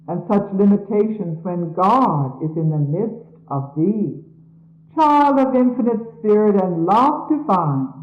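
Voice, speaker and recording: A woman reading religious messages like a sermon, solemn, measured and declamatory, in a 1970s archive recording. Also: medium pitch, reverberant, highs cut off. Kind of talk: scripted scripture reading, sermon-like.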